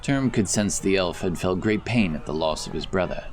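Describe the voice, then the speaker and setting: Deep-voiced male fantasy narrator. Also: animated, moderate pace, faint music behind. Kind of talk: scripted fantasy story narration.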